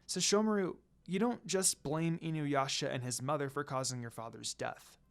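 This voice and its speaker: Reader doing gentle teen girl, soft earnest voice, kind but determined, story dialogue. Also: medium pitch, normal speed, gravelly, close to an everyday voice. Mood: earnest, concerned.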